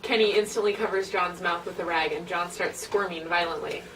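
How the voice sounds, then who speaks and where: A woman's voice: lowish voice, steady tone, a little fast. A woman reading stage directions in an informal, amused amateur script read-through. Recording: distant mic, noisy room.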